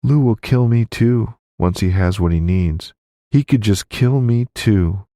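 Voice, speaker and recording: A man's voice: lowish voice, unhurried, dark tone, no room sound, studio quality, voice pushed up. A man voicing a young Old West widow, guarded and scheming, speaking politely and delicately in an audiobook story. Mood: guarded, cool.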